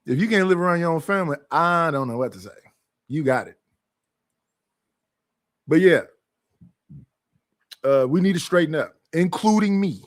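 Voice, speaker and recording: A man's voice: on the high side, lively intonation, normal speed, pauses often, no room sound. Man hosting a livestream, dry sound. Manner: loose, conversational, blunt. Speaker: livestream host. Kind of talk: casual livestream talk.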